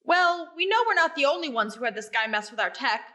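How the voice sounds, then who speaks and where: A woman voices a studious young male researcher in an audio drama. The voice sits high and has a bright, clear-cut edge. He lays out information in an earnest, composed way. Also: expressive, quick pace. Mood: earnest, explaining.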